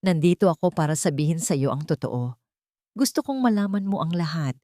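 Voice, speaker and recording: A woman voicing a wealthy elderly mother in a radio drama, gentle in manner with a hidden coldness. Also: sits low, lively intonation, a little fast, no room sound, studio quality. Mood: coldly persuasive. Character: wealthy elderly mother, sweet-talking but coldly scheming. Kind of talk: Filipino radio drama acting.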